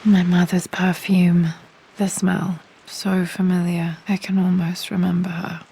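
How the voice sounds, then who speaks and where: An actress playing a young adventurer and wistful daughter with a British accent, quietly musing to herself in a video game. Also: female voice, on the low side, flat delivery, a little slow, heavily acted. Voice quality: gravelly fry, hushed. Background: music.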